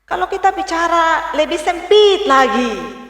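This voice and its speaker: A woman with a Kupang accent preaches in a high, talky way, her voice dipping low and climbing back up. She sounds a little far from the mic, and the church hall around her rings with a long, roomy echo. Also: lively intonation, unhurried. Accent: Kupang Indonesian. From Rote, East Nusa Tenggara, Indonesia. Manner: conversational preaching with sudden drops and rises in pitch and loudness. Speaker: pastor. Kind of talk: sermon.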